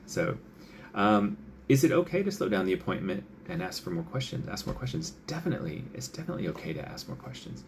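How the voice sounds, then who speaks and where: A man with a very low voice and a gravelly edge to it, talking as a doctor. He chats loosely, with lots of fillers, and slips into little acted-out lines of dialogue. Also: very animated, fast talker, slight background noise. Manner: chatty, many fillers, acts out quoted patient and doctor lines. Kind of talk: casual advice talk.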